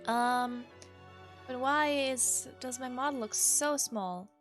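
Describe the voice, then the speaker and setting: Bright high-voiced woman, unhurried game streamer. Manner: hesitant, self-interrupting, soft exclamations. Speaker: VTuber streamer. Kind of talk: casual game livestream chat with read-aloud visual novel text. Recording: music playing behind the voice. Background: soft piano music.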